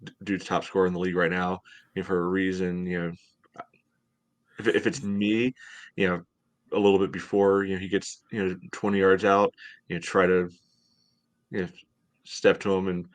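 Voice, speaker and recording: A man's voice: low voice, level tone, moderate pace, pauses often, dry recording. A man in his thirties co-hosting a casual sports analysis from home on a video call. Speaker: podcast co-host.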